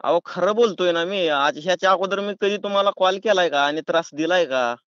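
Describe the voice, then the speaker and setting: A man's voice: high voice, a little fast, a bit bright, no echo. A man with a rural Maharashtrian accent talking in a pushy, folksy, argumentative way on a prank phone call. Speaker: prank caller. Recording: dull, lo-fi phone call recording.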